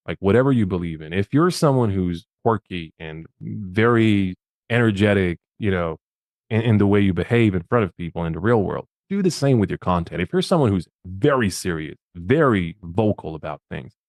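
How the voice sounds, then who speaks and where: A man's voice: sits low, darkish tone, no room sound, studio quality. A man from Bosnia, a podcast guest, gives social media advice in a casual, emphatic way and often repeats his words.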